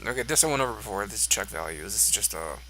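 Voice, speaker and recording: A man's voice: on the low side, animated, rapid-fire, pushed and bright, slight background noise. A congested man thinking aloud as he walks through code on screen, musing and offhand. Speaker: code reviewer. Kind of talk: screen-recorded code walkthrough.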